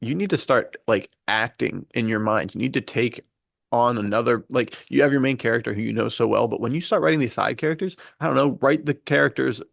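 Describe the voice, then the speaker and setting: A man's voice: medium pitch, a little fast, vocal fry, dry recording, studio quality. A man co-hosting a podcast in casual chat, speaking in an earnest, informal, enthusiastic way. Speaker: podcast co-host and fiction writer.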